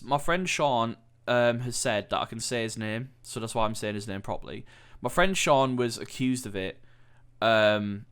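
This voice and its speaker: A man's voice: medium pitch, fairly bright tone, clean tone. A young British man hosting a podcast, talking candidly and rambling with lots of swearing, sounding nasal as he comes down with a cold. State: coming down with a cold. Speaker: podcast host. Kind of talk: candid solo podcast monologue.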